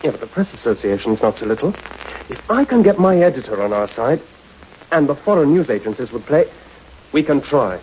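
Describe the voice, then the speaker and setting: A man's voice: mid-range voice, big pitch swings, fairly quick, darkish tone, lightly acted. A man acting a keen, informal newspaper reporter in a radio play, with a conversational manner. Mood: keen, speculating. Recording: muffled radio recording with faint noise.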